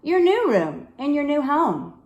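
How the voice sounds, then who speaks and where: An eerie storybook girl, played by a woman reading aloud, speaks slowly with cold, distant calm. Her ominous reassurance hangs in an echoey room. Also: high voice, voice pushed up. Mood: eerily welcoming.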